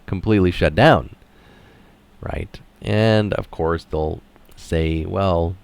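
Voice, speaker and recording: A man's voice: low voice, slow, gravelly, a little mellow, clear, resonant tone, no room sound. A man reading an article aloud in narration style, dropping in casual, opinionated asides of his own. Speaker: reader of an article adding his own comments.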